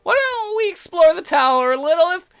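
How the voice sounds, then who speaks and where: Devoted bird servant for a visual novel, played by a man: very high, prim, fawning, fussy, quick to take offence. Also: sing-song, fairly bright tone, faint music behind, full character voice. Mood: gentle, concerned. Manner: formal, fawning and easily indignant. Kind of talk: visual novel character voice-over.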